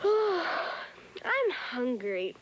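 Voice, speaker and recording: A woman's voice: very high-pitched, wildly swooping pitch, drawn-out words, a little hiss or hum, heavily acted, rough recording. A woman voicing a curious, brave young peasant boy in a cartoon dub, full of wide-eyed exclamations. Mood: eager. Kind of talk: 1970s cartoon dub acting. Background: loud music.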